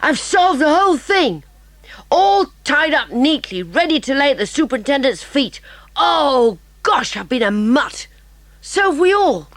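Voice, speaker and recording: Clever English schoolboy detective, excitable and bossy, lively delivery, brisk, self-important, audiobook drama. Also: female voice, sits high, no echo, heavily acted. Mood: triumphant.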